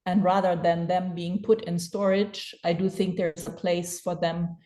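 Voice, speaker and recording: A woman from Germany speaks as a panelist, feeling her way through ideas with frequent restarts. Her voice sits a little low. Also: level tone, unhurried, dark tone. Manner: thoughtful, hesitant, many restarts. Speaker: panel guest. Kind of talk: panel discussion.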